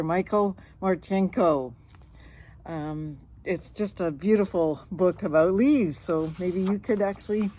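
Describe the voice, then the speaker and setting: A woman's voice: medium pitch, darkish tone. A woman reading a children's picture book aloud with chatty asides, stretching words and sound effects expressively.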